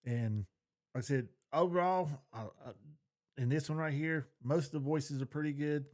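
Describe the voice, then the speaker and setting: A man's voice: on the high side, normal speed, a little mellow. A middle-aged man, co-hosting a podcast in casual chat, giving opinions in a plain-spoken, folksy way. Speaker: podcast co-host.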